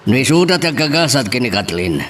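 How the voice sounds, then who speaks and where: A man's voice: mid-range voice, very animated, fairly quick, fairly bright tone. A man acting a stern, suspicious middle-aged father in an Ilocano audio drama. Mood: grave, concerned. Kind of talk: radio-style drama acting. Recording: music under the voice.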